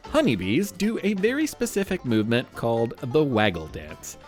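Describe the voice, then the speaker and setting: A man's voice: mid-range voice, huge pitch swings, moderate pace, soft background music. A man hosting a children's show, narrating from a script in a playful, theatrical way with wry asides. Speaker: podcast host.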